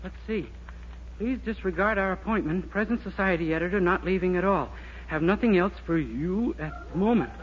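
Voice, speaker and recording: An eager, naive young clerk played by a man in a 1940s radio comedy, high-pitched and quick with flattery and wisecracks. The old recording is muffled and hissy. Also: close to an everyday voice. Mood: cheeky, eager. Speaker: comedy lead actor. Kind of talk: 1940s radio sitcom acting.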